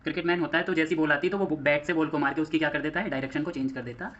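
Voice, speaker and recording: A man's voice: on the high side, fairly even intonation, rapid-fire, fairly bright tone, dull audio. A man with an Indian accent teaching like a coaching instructor, explaining step by step, repeating phrases and posing questions to himself. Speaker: physics teacher for exam coaching. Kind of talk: classroom lecture mixing Hindi and English terms.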